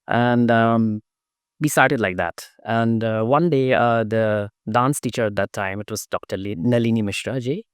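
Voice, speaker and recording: A man's voice: mid-range voice, unhurried, clean tone, no room sound, clean studio sound. A middle-aged man from Guyana, a dancer and interview guest, telling his story thoughtfully with frequent fillers and restarts. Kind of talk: conversational interview answers.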